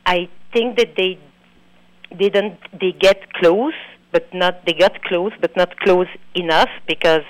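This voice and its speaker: A woman from Belgium with a French accent, a documentary filmmaker answering interview questions in an earnest, halting way that repeats words. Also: lowish voice, fairly even intonation, no room sound, rough recording.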